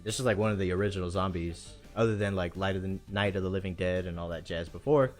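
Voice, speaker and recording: A man's voice: sits low, quick pace. A man hosting a live stream in casual chat, enthusiastic and slangy, with music behind him.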